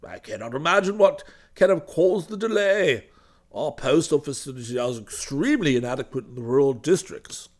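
A man voicing an elderly English country gentleman in a storybook, pompous, fussy and easily indignant. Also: on the high side, expressive, normal speed, voice pushed down. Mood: pompous, put out.

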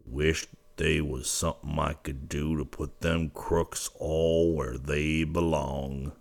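A man reading a storybook aloud and voicing a gruff, hot-tempered cowboy in a low, drawling, hoarse voice. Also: slow, exaggerated voice.

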